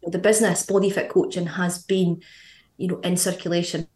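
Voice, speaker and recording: A woman in her thirties with a Scottish accent, chatting as a guest on a podcast, quick and full of fillers. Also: on the low side, level tone, darkish tone, echoey room. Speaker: guest, fitness coach. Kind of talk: casual podcast interview.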